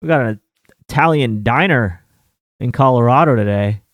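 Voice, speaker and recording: A man's voice: mid-range voice, normal speed, no echo. A man around thirty hosting a sports betting show, talking in a rambling, casual way with many fillers and some mild swearing. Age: 30s. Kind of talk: casual chat.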